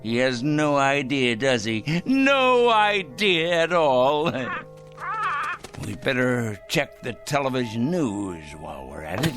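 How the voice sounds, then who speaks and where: An actor in a radio drama playing a paranoid, gloating crank who rambles theatrically to himself. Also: male voice, mid-range voice, very animated, takes it slowly, over music. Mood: scornful, fretting. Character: gleeful paranoid male crank plotting a moral purge.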